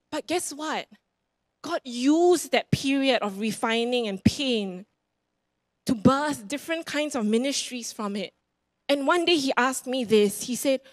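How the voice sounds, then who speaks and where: A woman in her thirties with a Singaporean accent preaches from a church stage, her voice sitting a little high. She talks into a handheld mic with a dry, close sound. She paces and gestures while telling her own stories and repeats key lines for emphasis. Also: no echo. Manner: animated, roams the stage gesturing; emphatic, repeats phrases for effect. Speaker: guest preacher. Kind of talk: sermon with personal stories. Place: church stage before a congregation.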